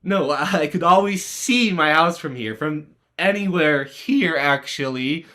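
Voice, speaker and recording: A man's voice: fairly high pitch, a little slow, pushed and bright. A man playing an awkward, dryly polite teenage boy in a play reading, hesitant and wry. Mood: uneasy. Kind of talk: dramatized livestream play reading.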